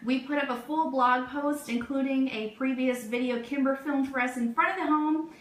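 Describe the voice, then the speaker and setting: A woman's voice: high-pitched, fairly even intonation, moderate pace. A woman hosting a casual live webcast, chatting informally through news for her listeners. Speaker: radio show host. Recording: roomy echo, faint background noise.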